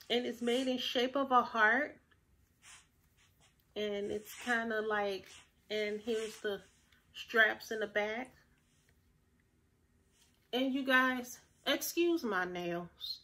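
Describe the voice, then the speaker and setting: Woman, 30s, upbeat home vlogger; slightly high, unhurried, a touch nasal; phone-mic close. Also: pushed and bright. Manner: chatty, upbeat, addresses viewers directly. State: slightly nasal, recovering from illness. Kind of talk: casual haul vlog. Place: small room at home, plain grey wall.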